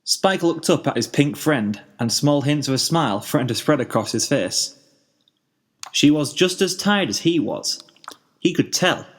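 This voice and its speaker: A man reading a story aloud as narrator, in an expressive scripted style. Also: a little high. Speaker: fan fiction narrator.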